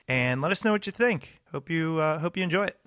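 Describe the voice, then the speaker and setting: A man's voice: fairly high pitch, expressive, brisk. A man hosting a podcast who introduces the guest in a friendly, rambling, informal way. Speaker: podcast host. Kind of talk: casual podcast chat and interview intro.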